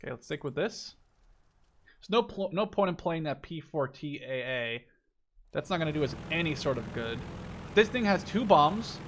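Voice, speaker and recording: A man's voice: on the high side, expressive, normal speed, fairly bright tone. A man in his twenties giving casual, joking, slangy live gameplay commentary as a gaming video host. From Canada. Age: 20s. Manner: self-deprecating, joking, slangy. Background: game vehicle sounds.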